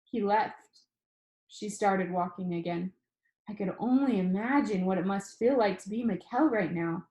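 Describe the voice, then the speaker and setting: A woman narrates a story aloud in an echoey room. Her pitch sits in the middle for a woman, and she reads expressively, as in storybook narration. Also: normal speed, dark tone. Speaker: reader reading a novel aloud. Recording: roomy, echoing room sound.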